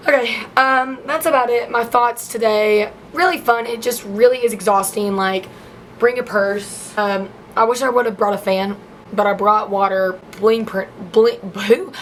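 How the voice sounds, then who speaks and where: Chatty hoarse young woman vlogging. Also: a little high, fairly even intonation, a little slow, slight background noise. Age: late teens. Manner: chatty, rambling, many "like" fillers. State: hoarse, losing her voice. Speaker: college freshman vlogger. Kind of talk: casual vlog.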